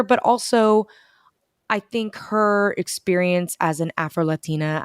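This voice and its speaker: A young woman from the United States, talking casually as an interview guest over a video call, telling stories with lively quoted asides. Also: on the low side, clean tone, no room sound, studio quality. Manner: chatty, animated storytelling with quoted asides. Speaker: bookstore events director, guest.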